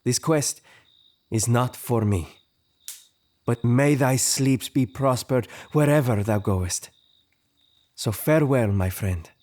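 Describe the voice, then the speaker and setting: An actor playing a young newlywed friend in an audio drama, kindly and apologetic, speaking gently, formally and sincerely. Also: male voice, medium pitch, takes it slowly, pauses often, natural voice. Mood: regretful. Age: young adult.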